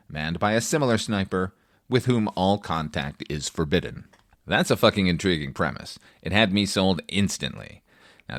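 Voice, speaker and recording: A man's voice: fairly low pitch, dry recording, studio quality. A man hosting a film review podcast, speaking in a wry, opinionated, sweary monologue. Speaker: podcast host, film critic.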